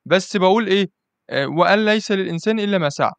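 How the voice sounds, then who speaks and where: A man's voice: high-pitched, expressive, racing, no room sound. A man with an Egyptian accent hosting a casual podcast interview, chatty and constantly asking "you understand?". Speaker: podcast host.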